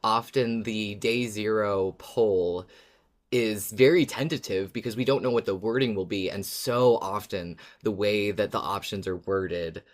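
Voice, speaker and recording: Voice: low voice, a little slow. A podcast co-host chatting casually in a fan discussion.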